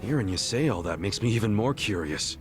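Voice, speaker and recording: A man's voice: fairly low pitch, animated, brisk, faint music behind, close to an everyday voice. A man voicing a blunt, curious, easygoing friend in a video game cutscene, talking in a casual, slangy way. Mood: curious, friendly.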